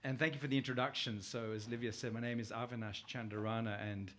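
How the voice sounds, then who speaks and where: A man's voice: medium pitch, fairly even intonation, quick pace, fairly bright tone, slight background noise. A middle-aged man with a British accent speaks as a guest at a conference, presenting informally and conversationally with personal anecdotes and questions to his listeners. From United Kingdom, born in Uganda.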